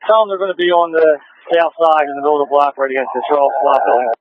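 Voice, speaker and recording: A man's voice: on the high side, quick pace, dry recording. A male police officer reporting in over a two-way radio. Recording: tinny band-limited police scanner radio.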